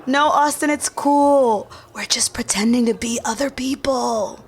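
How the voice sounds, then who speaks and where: A woman's voice: sits high, measured pace, slightly rough. A woman acting a breezy, improvising prankster in a podcast sitcom. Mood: mischievous.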